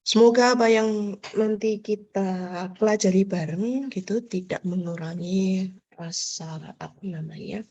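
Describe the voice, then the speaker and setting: A woman's voice: medium pitch, measured pace, darkish tone, lo-fi sound. A woman lecturer with an Indonesian accent, nasal and congested from a cold, chatting casually through an online class with many fillers and tag questions over a video call.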